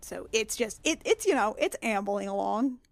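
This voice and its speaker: A woman's voice: mid-range voice, expressive, normal speed, creaky, faint music behind. A woman playing a tabletop game, casually describing her character's actions and thinking aloud as she goes.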